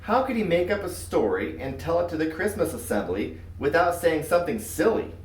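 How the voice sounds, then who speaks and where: A man reading a children's story aloud in an expressive, scripted narrating style. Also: on the high side, fairly quick. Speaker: storybook reader. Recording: roomy echo, far from mic.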